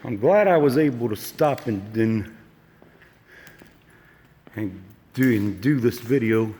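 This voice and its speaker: Slow, froggy man, noisy recording. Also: fairly low pitch, animated, warm tone. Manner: halting, musing, folksy. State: slightly froggy from allergies. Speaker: urban exploration video maker. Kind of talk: casual unscripted walk-through commentary. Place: walking around an abandoned park site.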